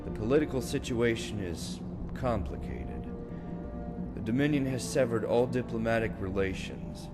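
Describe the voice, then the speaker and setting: A man's voice: mid-range voice, normal speed, natural voice. A man voicing a cautious imperial chancellor who speaks in a formal, official way in game dialogue. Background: loud background music.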